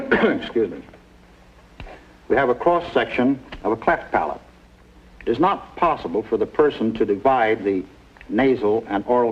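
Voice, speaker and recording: An actor playing a kindly, measured surgeon in a 1950s television medical drama. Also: male voice, fairly low pitch, slight background noise. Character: kindly, measured male surgeon explaining and reassuring. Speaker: doctor. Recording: dull vintage television soundtrack, music behind.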